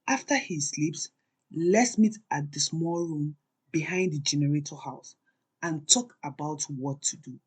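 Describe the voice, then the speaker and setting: A woman's voice: low voice, a little slow, darkish tone, breathy edge, rough recording. A woman voicing a long-suffering, defiant wife in a folktale, solemn and conspiratorial. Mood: grave, secretive. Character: Bolanle, grave, defiant, long-suffering secretive Nigerian wife.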